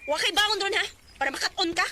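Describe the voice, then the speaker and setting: Bossy cebuano radio drama mom, nags really fast, piercing, bit of background noise. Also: female voice, extremely high pitch, pushed and bright, heavily acted. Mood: scolding, impatient. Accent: Filipino, Cebuano. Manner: scolding, curt, bossy. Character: harsh, nagging mother of a schoolboy. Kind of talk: Philippine radio drama acting.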